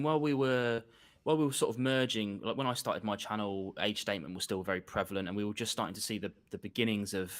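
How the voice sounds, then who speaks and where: A man's voice: lowish voice, fairly bright tone. A man from England chatting as a guest over a video call, enthusiastic, with frequent restarts and fillers. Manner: enthusiastic, repeats words while restarting, many fillers. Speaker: guest reviewer. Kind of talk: casual conversation.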